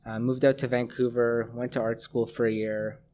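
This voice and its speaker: Canadian-born man, relaxed, faint room noise. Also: medium pitch, normal speed. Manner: relaxed, hesitant with many fillers. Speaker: guest scientist. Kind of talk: interview answers. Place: office room with a table. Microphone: desk mic on a boom arm.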